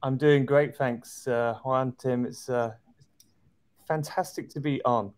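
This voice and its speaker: A middle-aged man guesting on a video call from an office, speaking in a thoughtful, measured way. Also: medium pitch, steady tone. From outside the US. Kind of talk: casual chat on a video call. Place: office indoors. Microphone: video call webcam.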